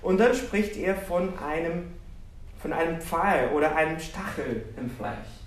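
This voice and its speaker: Animated preacher in an echoey hall. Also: male voice, a little high, a little slow, a little hiss or hum. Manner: animated, self-deprecating, asks the congregation questions. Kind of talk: sermon with personal storytelling. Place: church hall with congregation. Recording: roomy, distant church recording.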